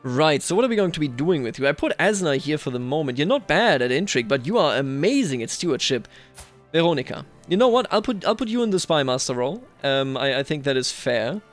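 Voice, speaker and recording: A man hosting a gaming video, thinking aloud in a musing way as he roleplays a strategy game. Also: mid-range voice. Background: music.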